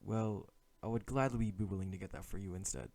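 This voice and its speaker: A man's voice: on the low side, fairly quick, dark tone, close to an everyday voice. Man acting as an exasperated assassin turned bodyguard. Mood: polite, composed. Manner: polite, formal address mixed with sighing, grumbling inner monologue. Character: exasperated male assassin posing as a dutiful bodyguard. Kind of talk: ASMR audio roleplay acting.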